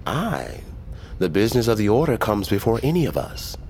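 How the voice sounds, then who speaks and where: A man's voice: on the low side, sing-song, moderate pace, a little mellow, a little hiss or hum. A man playing a wary tradesman turned conspirator in a fantasy audio drama, speaking in dry, courtly, archaic phrases. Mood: guarded.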